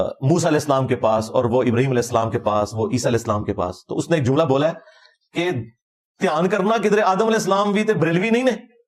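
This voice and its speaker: A man with a Pakistani Punjabi accent giving informal religious commentary in an argumentative, sarcastic, colloquial way. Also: fairly high pitch. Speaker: religious lecturer.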